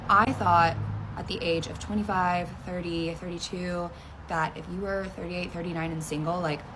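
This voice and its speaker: Woman, late thirties, reassuring, emphatic, slightly low voice, noisy background. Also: fairly even intonation, normal speed. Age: 30s. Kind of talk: casual conversation with a friend.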